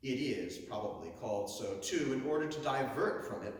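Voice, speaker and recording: A man reciting a historic abolitionist speech as theatrical nineteenth-century oratory, declamatory and emphatic, with dramatic pauses. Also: mid-range voice, animated. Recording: very echoey hall, distant from mic.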